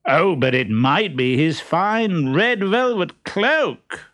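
A mischievous, kind-hearted servant from a storybook, played by a man. He sounds playful and mock-innocent, with a raised, very animated pitch. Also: slow pace, full character voice. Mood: playfully sly.

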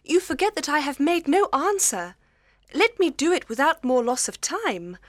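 A woman playing a spirited young gentlewoman in a literary audiobook, polite, articulate and firm. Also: high voice, big pitch swings, normal speed, no room sound, clean studio sound. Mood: politely firm. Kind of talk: dramatised literary audiobook reading.

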